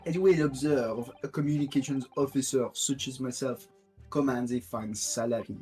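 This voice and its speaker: Haughty gentleman witness for a courtroom game, played by a man: pompous, wordy, indignant, medium pitch, music underneath. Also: normal speed, lightly acted, low-quality recording. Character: haughty, indignant gentleman witness, a well-paid communications officer. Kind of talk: fan-dub courtroom game read-aloud.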